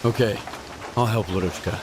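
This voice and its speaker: An actor playing a weary, cynical ex-marine with a dry, terse manner in a game cutscene. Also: male voice, fairly low pitch, moderate pace, noisy background, lightly acted. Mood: resigned. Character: weary, cynical male ex-marine stalker in a wasteland. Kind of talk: dramatic video game cutscene acting.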